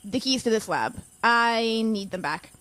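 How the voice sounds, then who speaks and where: An actress playing a kindly but reserved professor in an audio drama, caring and measured in manner. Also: female voice, on the high side, animated, a bit bright. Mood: apologetic but firm. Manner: caring, measured, calls listener dear. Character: kindly, reserved female professor, gently firm.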